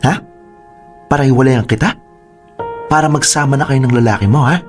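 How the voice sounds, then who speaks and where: An actor playing an angry, jealous young fiancé who fires sharp questions in a Filipino accent, in radio drama style. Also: male voice, medium pitch, a little fast, soft background music, lightly acted. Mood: angry, accusing. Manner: confrontational, peppers talk with sharp questions.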